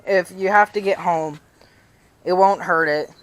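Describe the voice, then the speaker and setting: A young man with a slightly raspy voice giving casual bike tips in a rambling way, full of fillers and restarts. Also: high voice, fairly even intonation, measured pace, a bit bright, rough recording. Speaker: BMX vlogger. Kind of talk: casual how-to vlog. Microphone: chest-mounted action camera.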